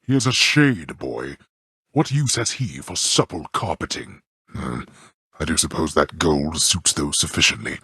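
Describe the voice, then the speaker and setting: A haughty god-king of the underworld for a video game, with a very deep and heavily rasping voice. His speech is formal and dryly contemptuous, swinging widely in pitch as he passes judgment like a stern father. The sound is close and dry. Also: lively intonation, a little slow, bright, forceful tone, no echo, exaggerated voice. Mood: scornful, irritated. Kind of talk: theatrical video game character dialogue.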